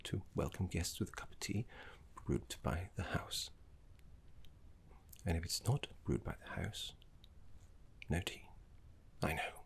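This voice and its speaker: A man playing a genteel, fussy, courteous professor of necromancy in an ASMR roleplay, speaking in a soft, breathy, formal way with dramatic pauses. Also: low-pitched, exaggerated voice. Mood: apologetic, polite.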